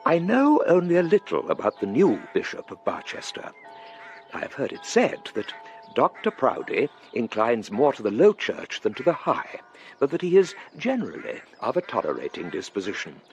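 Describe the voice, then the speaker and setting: A man's voice: mid-range voice, huge pitch swings, moderate pace, faint music behind. An actor playing a mild, deferential older Victorian clergyman with an English accent, hesitant and courteous, in a radio drama. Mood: thoughtful. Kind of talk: literary radio drama acting.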